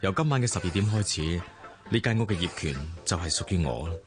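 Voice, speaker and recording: A man playing a composed, courteous businessman in a radio drama, speaking in a measured, formal and firm way. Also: deep voice, animated, over music. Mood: polite, firm. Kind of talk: Cantonese radio drama acting.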